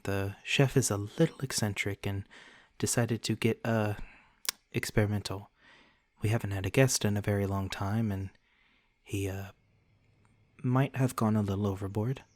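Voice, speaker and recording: A man playing a courteous, protective centuries-old vampire lord in an ASMR roleplay, speaking formally and gently to the listener with polite apology. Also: on the low side, no echo, close to an everyday voice.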